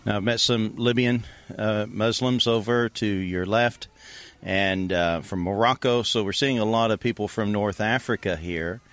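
A man acting as an evangelist interviewer, asking measured, probing questions about faith, with an occasional "uh". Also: on the low side, steady tone, fairly bright tone. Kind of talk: street interview with scripted intro.

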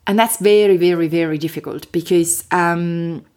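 A woman from Italy with an Italian accent, a podcast guest talking in a lively, expressive way with quick bursts and hesitations. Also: lowish voice. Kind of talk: casual interview talk.

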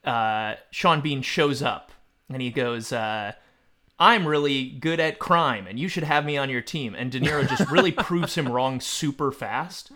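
A man's voice: mid-range voice, measured pace, pushed and bright. A man hosting a podcast film quiz with joking banter and a mock-formal quizmaster delivery. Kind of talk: comic film trivia banter.

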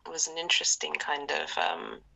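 A young woman from Zimbabwe speaking as an interview guest, her very low voice full of gravelly fry. She talks reflectively with lots of fillers and often drops into breathy near-whispers. Her tone still comes through bright and sharp. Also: wildly swooping pitch, brisk, poor audio. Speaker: podcast guest. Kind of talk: casual interview conversation.

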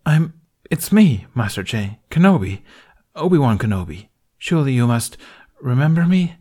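A man's voice: fairly high pitch, expressive, slow, a little mellow, slightly rough, pitched above the performer's own voice, full character voice. A man voicing a confused, distraught male Jedi master whose voice cracks as he pleads and despairs.

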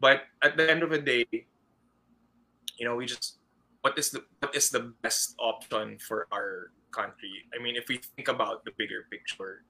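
A man's voice: medium pitch, fairly even intonation, measured pace, pushed and bright, low-quality recording. A man from the Philippines with a Filipino accent, hosting a casual conversation and talking thoughtfully, often stopping to search for words.

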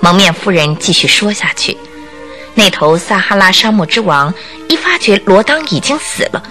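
Expressive woman narrator, very animated pitch. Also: normal speed. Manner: expressive storytelling. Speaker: radio drama narrator. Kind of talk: dramatic scripted narration of a detective story. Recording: music under the voice.

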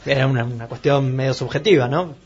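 A dull recording with clear crowd murmur behind it carries an Argentine man's voice. He talks very quickly in a casual discussion, at a middle pitch. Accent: Argentine Spanish.

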